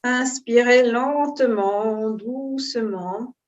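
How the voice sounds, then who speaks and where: A woman teaching yoga, giving calm, unhurried and soothing guidance on posture and breathing. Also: fairly high pitch, highs cut off. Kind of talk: guided yoga and breathing instruction.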